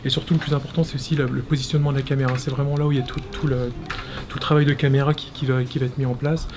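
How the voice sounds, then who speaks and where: A man's voice: medium pitch, level tone. A man casually explaining an animation studio on a walking tour, hesitant and full of restarts. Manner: halting, many restarts and fillers. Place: animation studio offices, indoors. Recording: loud background noise.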